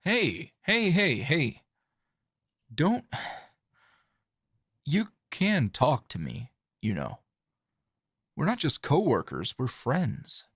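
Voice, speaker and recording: A man playing a calm, dry, teasing hero and coworker in an intimate ASMR roleplay, talking in a low-key, halting, conversational way. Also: slightly rough, no room sound, heavily acted. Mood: concerned, gentle.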